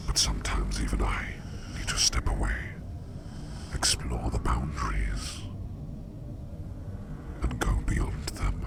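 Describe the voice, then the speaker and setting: A man playing a curious, enigmatic sleep demon in a whispered ASMR roleplay, speaking in a hushed, deliberate and formal way with a breathy, gravelly voice. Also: a bass voice, fairly even intonation, exaggerated voice. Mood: secretive, measured. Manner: slow, deliberate, hushed, formal wording with repeated phrases. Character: curious, enigmatic male sleep demon who walks dreams.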